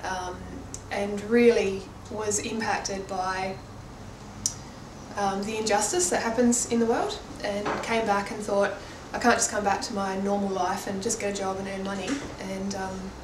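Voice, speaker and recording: Woman, earnest, little pitch movement, echoey distant interview recording. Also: little pitch movement, measured pace. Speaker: human rights organisation staffer. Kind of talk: personal interview account. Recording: echoey, distant mic, noisy background.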